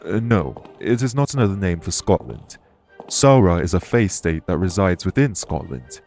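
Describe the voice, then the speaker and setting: A man playing a courteous former king turned fae official in an audio roleplay, speaking formally and with measured reassurance. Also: sits low, expressive, darkish tone, soft background music. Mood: reserved, matter-of-fact. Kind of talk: audio roleplay spoken to the listener.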